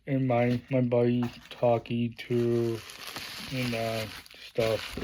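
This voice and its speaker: A man unboxing things on his vlog, speaking slowly and at an unhurried pace. His voice stays flat and level, with little rise or fall, and his plain praise keeps getting broken up by fillers and restarts. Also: medium pitch, background noise. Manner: halting, many fillers and restarts. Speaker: vlogger.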